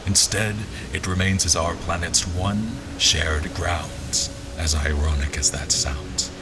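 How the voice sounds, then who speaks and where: A man playing a grave, reflective general who narrates an audio drama in measured, literary phrases. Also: low-pitched. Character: grave, reflective male army general recounting his journey. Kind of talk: scripted first-person dramatic narration. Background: music.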